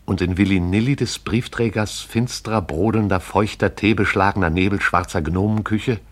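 A deep male storyteller, voiced by an actor for a radio play. He narrates in a measured, poetic way, lingering over lyrical description. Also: steady tone, close to an everyday voice.

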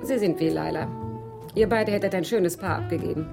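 A woman's voice: on the low side, brisk, vocal fry. An actress playing a worldly, self-assured woman who teases and probes, in a German radio crime drama. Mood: knowing, boastful. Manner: teasing, self-assured, probing. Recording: faint music under the voice.

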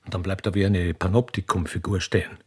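A man with an Austrian accent reads stage directions in a dramatic reading, with a husky, gravelly voice and a dry, deliberate delivery. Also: low voice, fairly even intonation, a little hiss or hum. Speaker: reader performing a play with all its parts.

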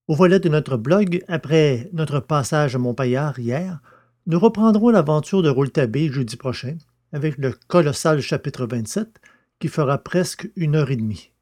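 A man with a medium-pitched voice works as podcast host and narrator. He reads prepared text in a scripted storytelling style. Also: warm tone.

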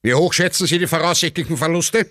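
A man's voice: a little high, fast talker, sharp tone, no room sound, clean studio sound, voice pushed up. A man playing a ruthless, impatient army commander with a clipped, commanding manner. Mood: cold, impatient.

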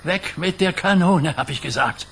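An actor playing a grave, weary old mafia boss in a radio crime drama, an older man with a husky voice. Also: high voice, moderate pace, fairly bright tone, a little hiss or hum. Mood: stern, pleading.

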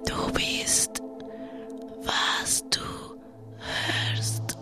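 Whispering woman under loud music. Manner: slow, breathed phrases. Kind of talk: whispered radio station ident. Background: loud new-age music.

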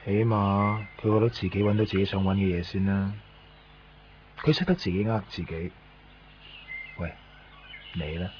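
An actor playing a cynical, needling small-time hustler, blunt and sardonic, in a Cantonese radio drama. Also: male voice, fairly low pitch, close to an everyday voice. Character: cynical, needling male hustler trading goods in Japan. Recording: dull radio recording, background noise.

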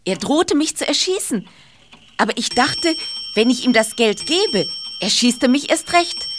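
A high, earnest voice of a shaken but plucky female innkeeper in a radio drama, with faint noise behind it. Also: big pitch swings, measured pace. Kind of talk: radio play acting.

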